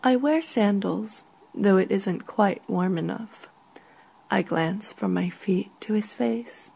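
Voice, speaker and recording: Breathy woman slowly reading a short story. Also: mid-range voice, warm tone. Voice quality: breathy, often falling to near whisper. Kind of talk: literary first-person story narration.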